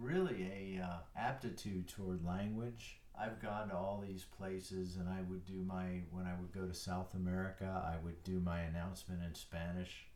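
A man's voice: sits low, darkish tone, roomy sound, distant mic. A retired older man chatting casually as a guest, self-deprecating and rambling a little. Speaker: guest, retired airline pilot. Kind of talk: casual chat.

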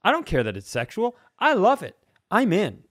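A man's voice: sits high, wildly swooping pitch, moderate pace, a bit bright, no echo. Man in casual comedic podcast chat, dry sound.

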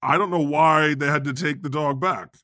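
A man's voice: fairly high pitch, steady tone, moderate pace, bright, forceful tone, no room sound, studio quality. A man hosting a comedy podcast, trading playful, teasing banter and often laughing mid-sentence.

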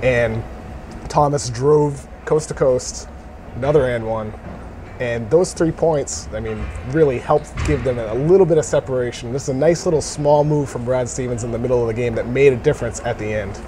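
A man's voice: mid-range voice, moderate pace, noisy background. A man giving casual basketball commentary as an analyst. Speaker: sports analyst.

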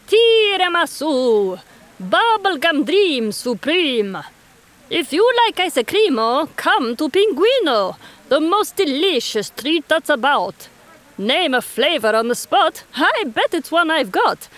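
A woman's voice: sits high, very animated, measured pace, voice pushed up. Woman voicing a jittery penguin shopkeeper. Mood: sing-song. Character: anxious, hopeful male penguin ice-cream seller.

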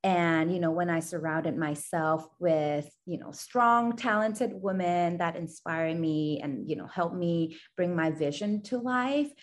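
Woman from Thailand, a founder and guest; low voice, earnest, steady. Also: measured pace, few pauses. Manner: earnest, steady, many "you know" fillers. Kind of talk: podcast interview.

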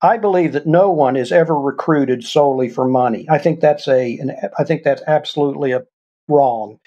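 Husky older man telling stories. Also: a little high, moderate pace, dark tone, lo-fi sound. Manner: earnest, explains with examples. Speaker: guest, former intelligence officer. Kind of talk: conversational storytelling interview.

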